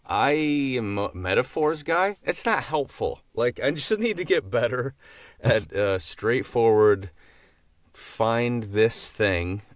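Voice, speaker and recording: Deep-voiced man, halting and thoughtful. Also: dry recording. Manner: halting, thinking aloud. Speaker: podcast host. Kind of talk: casual interview chat.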